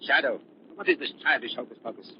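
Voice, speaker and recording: An actor playing an embittered, paranoid older scientist who feigns kindness, in theatrical 1930s radio melodrama style. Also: male voice, mid-range voice, animated, quick pace, natural voice. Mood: defiant. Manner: theatrical, volatile, swinging from soothing to ranting. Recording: muffled, lightly noisy 1930s radio recording.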